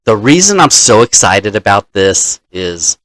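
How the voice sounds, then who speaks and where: A man in the role of dungeon master, casually telling a story from his game session in a halting, deliberate manner. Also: on the low side, sing-song, a bit bright, dry recording, poor audio.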